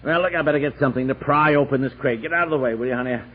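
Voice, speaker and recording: A man's voice: medium pitch, rapid-fire, husky, close to an everyday voice. Exasperated American husband, played by a man, tinny old radio. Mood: dry, sardonic. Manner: grumbling, dry, put-upon. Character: exasperated, sardonic suburban husband and father. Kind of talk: 1960s radio comedy-drama acting.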